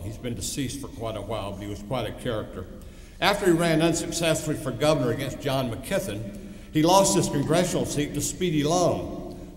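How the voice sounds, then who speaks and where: A man in his sixties with a pronounced East Texas accent gives a commencement speech, telling personal anecdotes in a folksy, wry storytelling manner. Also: mid-range voice. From East Texas, United States. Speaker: commencement speaker. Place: large hall, speaking at a ceremony. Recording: echoey hall with long reverb.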